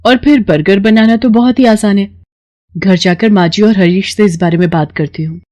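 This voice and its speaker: A woman performs a young working mother in a cartoon story. She sounds earnest and hopeful, with a pleading way of speaking at a medium pitch. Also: normal speed, mellow, dry recording, lightly acted.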